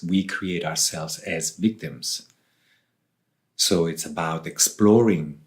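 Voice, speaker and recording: A man from southern Switzerland with a low voice answers as an interview guest. He speaks very slowly and deliberately, setting out reflective thoughts in short phrases with pauses between them. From Switzerland, southern part. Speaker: author and ayahuasca facilitator, interview guest.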